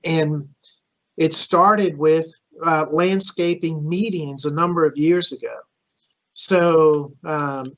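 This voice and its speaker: A man's voice: fairly high pitch, fairly bright tone. Earnest man, Greek native, dull audio. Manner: earnest, repeats words at starts of phrases. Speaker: county manager. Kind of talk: public forum answering questions.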